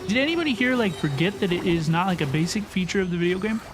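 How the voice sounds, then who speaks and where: A woman's voice: lowish voice, animated, quick pace, background noise. A woman hosting a gaming livestream, chatting casually and often repeating phrases for emphasis. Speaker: livestream host. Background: game music.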